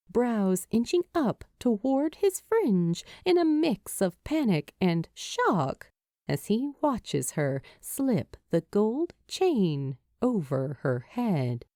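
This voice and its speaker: Mellow, expressive woman narrating a story. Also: medium pitch, dry recording, clean studio sound. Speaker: fan fiction narrator.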